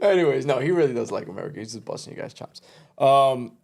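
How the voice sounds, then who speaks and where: A man with a mid-range voice co-hosting a relaxed podcast. He keeps things easygoing, trades jokes, and fills in with steady "mm-hmm"s while his partner talks. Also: medium pitch, quick pace, creaky. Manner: easygoing, backchannels a lot, jokes around. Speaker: podcast co-host. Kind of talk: casual chat.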